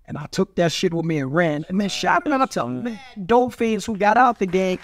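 A man's voice: sits high, big pitch swings, moderate pace. A middle-aged man with a New York accent, telling bawdy street stories in a brash, boastful, slangy way on a studio mic. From Brooklyn, New York. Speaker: guest, street graffiti artist. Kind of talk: bawdy street storytelling in casual podcast talk. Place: small studio with foam panels. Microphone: studio mic on a boom arm.

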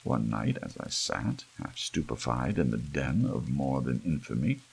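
A man reading a gothic story as an ASMR audiobook in a gravelly, husky voice, with measured, dramatic phrasing and pauses. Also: extremely low pitch, sing-song. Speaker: audiobook narrator. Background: soft rain.

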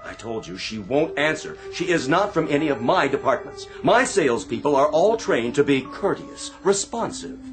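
An actor playing a fussy, sarcastic department store supervisor in a radio drama, officious and theatrical. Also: male voice, a little high, sing-song, normal speed, hardly any pauses, music in the background.

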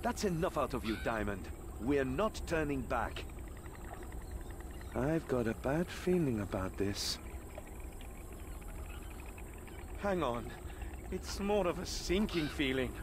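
High-pitched, excitable young adventurer. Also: male voice, noisy background. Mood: uneasy. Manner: eager, dramatic, expressive. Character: excitable, adventurous young male desert companion. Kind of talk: video game voice acting. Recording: game music behind the voice. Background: background music.

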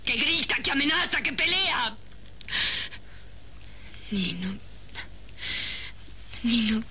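An actress playing an ambitious young woman in a 1970s soap opera, speaking in a deliberate, dramatic way. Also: medium pitch, sharp tone. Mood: anguished. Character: ambitious, cold young woman from a humble neighbourhood. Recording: noisy old 1970s TV soundtrack.

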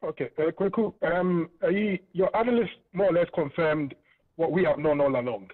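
A man's voice: fairly high pitch, normal speed. Ghanaian man on a tinny phone line. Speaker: caller, family member. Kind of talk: phone-in interview.

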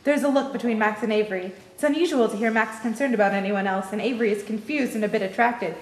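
A woman's voice: on the high side, fast talker. A young woman reading stage directions as scripted narration at an informal table read. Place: indoor lounge with a seated group reading scripts. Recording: echoey room with long reverb.

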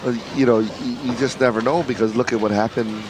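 A man giving relaxed, chatty play-by-play commentary on a bowling match. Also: mid-range voice, a little fast, noisy background. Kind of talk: live sports commentary. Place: bowling center.

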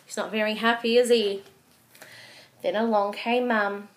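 A woman in her thirties reading a picture book aloud to young children, slow, gentle and expressive, with asides to her listeners. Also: medium pitch, poor audio. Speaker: children's story reader. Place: small room with bookshelves, indoors.

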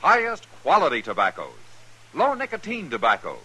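A man's voice: mid-range voice, wildly swooping pitch, normal speed, bright, forceful tone, breathy edge. An American man announcing a commercial in 1950s radio advertising style. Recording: vintage radio recording.

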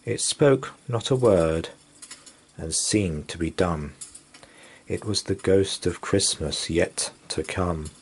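A man reading a rhyming children's story aloud as a storyteller, with deliberate, theatrical expression. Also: low-pitched, husky. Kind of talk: dramatic rhyming bedtime story narration.

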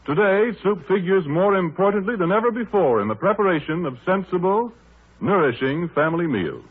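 A man announcing on 1930s radio in a formal, polished salesman's style. His voice is a little high, with animated intonation. The recording is thin and muffled, with the narrow band of an old broadcast. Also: a little fast, husky.

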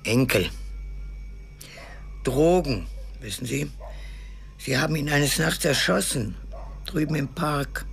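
A woman's voice: low-pitched, takes it slowly, gravelly, pauses often, husky, full character voice. An actress in an audio drama playing a bitter, proud old grandmother, weary and sardonic. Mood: weary, guarded. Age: older. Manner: sardonic, probing. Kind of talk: German audio drama acting.

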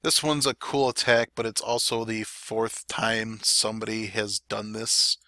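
Male game commentator, casual play-by-play, slightly dry throat, bright tone, dead-dry recording. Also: on the low side, measured pace, no room sound. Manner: relaxed, chatty play-by-play. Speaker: clan war recap commentator. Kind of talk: gameplay commentary over replays.

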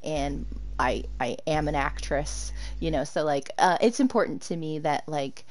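Chatty woman streamer with a low voice. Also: measured pace. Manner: chatty, laughs often, answers chat. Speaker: game streamer. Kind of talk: casual live-stream chat while gaming.

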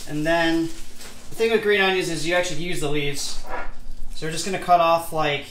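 A man with a slightly high voice hosts a casual cooking livestream. He talks informally, with the odd swear word, as he reads out comments. The room is echoey, with some kitchen noise behind him. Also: fairly even intonation, normal speed, fairly bright tone. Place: home kitchen, indoors. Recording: roomy kitchen, clear background noise.

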